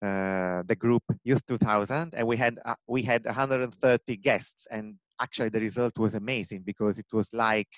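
A man's voice: fairly low pitch, moderate pace, hardly any pauses, no room sound. Italian-accented man on a headset. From Italy, Rome. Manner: earnest, many fillers and restarts. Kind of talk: video call interview. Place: home room with bookshelves.

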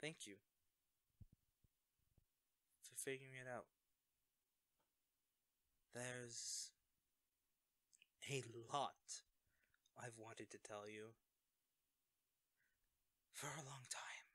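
A man's voice: mid-range voice, steady tone, drawn-out words, pauses often, bright, forceful tone, no room sound. A man acting a young superhero in an audio roleplay, a sheepish, caring best friend who speaks conversationally and a little hesitantly. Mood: tender, quiet.

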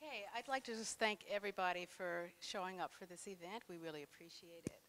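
A woman moderating a panel in a gallery, thanking sponsors and then asking questions with frequent pauses. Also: lowish voice, a bit bright. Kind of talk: opening remarks and questions at a panel. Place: art gallery, indoors.

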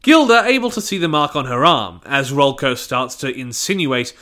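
Male reviewer, bright forceful voice, highly animated delivery, blunt and sweary scripted narration. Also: moderate pace. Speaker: reviewer, channel host.

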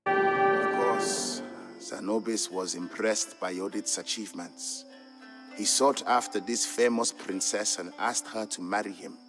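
A patient merchant father from Ethiopia, narrating a game's storybook legend. His voice is on the low side and he tells it fondly, in measured phrases. Music plays clearly behind him. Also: steady tone, fairly bright tone. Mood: reflective, storytelling. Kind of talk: scripted storybook narration in a game. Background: background music.

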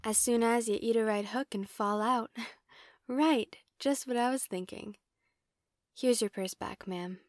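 An actress playing a young, quippy, rambling superheroine in an audio drama. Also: female voice, a little high, unhurried, lightly acted. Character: wisecracking young superheroine, lonely but cocky.

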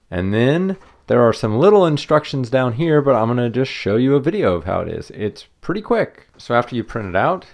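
Guy with a gravelly voice, relaxed how-to talk, crafting at a table indoors. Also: mid-range voice. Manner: relaxed, friendly step-by-step explaining. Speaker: channel host. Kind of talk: casual how-to demonstration.

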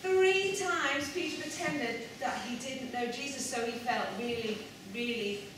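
A woman's voice: high voice, a little slow. A middle-aged woman presenting to children, telling stories and explaining simply and expressively. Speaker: presenter of children's puppet show. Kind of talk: storytelling and chat to children. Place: school hall, standing by puppet stage. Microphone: far camera. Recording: echoey hall, far from mic, noisy.

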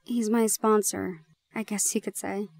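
A woman playing a shy, gentle young gorgon in an intimate audio roleplay, speaking softly and haltingly. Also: medium pitch, flat delivery, vocal fry, dry recording, soft background music. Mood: reflective, confiding. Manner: soft, hesitant, halting.

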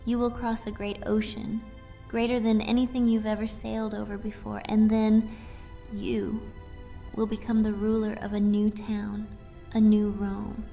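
Woman acting a soft, creaky vampire fortune-teller. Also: mid-range voice, completely flat delivery, a little slow. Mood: hushed, entranced. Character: mysterious young female vampire fortune-teller from the East. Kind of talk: fantasy audio drama roleplay. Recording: music behind the voice.

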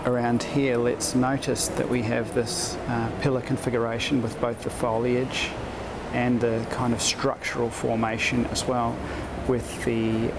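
A man giving an explanatory commentary on location, speaking in a halting, deliberate way into a handheld camera as he points things out. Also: mid-range voice, little pitch movement, creaky. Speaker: vlogger narrating his own walking video. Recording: noisy handheld recording, city traffic and ambience. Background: street and ambient noise.

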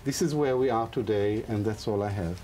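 A man speaking on a lecture panel, making his case in a measured, argumentative way. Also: lowish voice, very noisy. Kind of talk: prepared lecture talk.

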